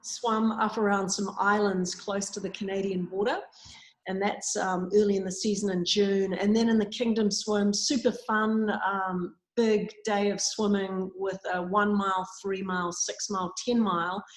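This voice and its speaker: A woman's voice: mid-range voice, level tone, moderate pace. A woman, a swim coach chatting through interview answers with plenty of ums and uhs over a video call. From New Zealand. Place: at a swimming facility. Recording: echoey room sound.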